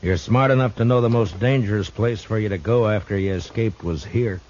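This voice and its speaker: Deep-voiced, stern Old West marshal played by an actor. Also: male voice, a little mellow, natural voice. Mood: stern, probing. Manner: dry, measured, unhurried questioning. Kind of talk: 1950s western radio drama acting. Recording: dull, noisy old radio recording.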